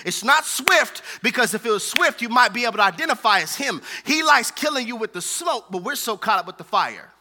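A man's voice: extremely high pitch, very animated, brisk, hardly any pauses, pushed and bright. An American man preaching a fervent sermon with emphatic, repetitive rhetorical phrasing.

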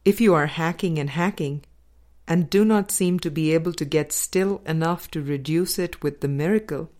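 A woman reading a spiritual book aloud as a scripted narration, with measured, deliberate phrasing in short groups. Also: deep voice, warm tone. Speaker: audiobook reader.

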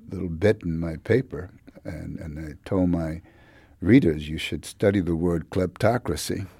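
Deep, dark-voiced man giving political commentary. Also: husky, no echo. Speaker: newspaper journalist as panel commentator. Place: radio studio.

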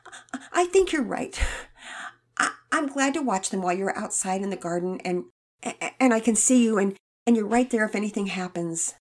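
A woman voicing a remorseful young girl who stammers and speaks haltingly in a children's storybook reading. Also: medium pitch, lively intonation, voice pushed up, close to an everyday voice. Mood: halting, tearful.

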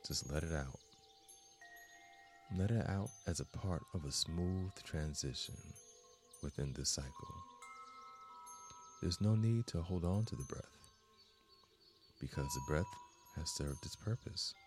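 Very deep-voiced man guiding meditation slowly. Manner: calm, deliberate, measured phrasing with long pauses. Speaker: guided meditation host and instructor. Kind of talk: slow guided meditation instruction. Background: soft meditation music with chimes.

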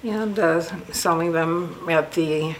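Low-voiced woman, halting, with background noise. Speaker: local artist being interviewed. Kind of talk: informal on-location interview. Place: art gallery. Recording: noticeable background ambience noise.

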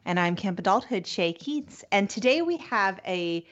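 A woman's voice: mid-range voice, expressive, normal speed, muffled recording. A woman co-hosting a casual podcast, talking in an enthusiastic, effusive way. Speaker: podcast co-host.

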